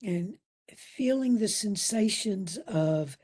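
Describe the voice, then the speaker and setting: Very slow, soft, breathy woman guiding meditation. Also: mid-range voice, expressive. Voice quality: soft, breathy, at times near whisper. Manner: soothing, gentle, with long pauses between phrases. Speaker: meditation instructor. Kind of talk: guided meditation.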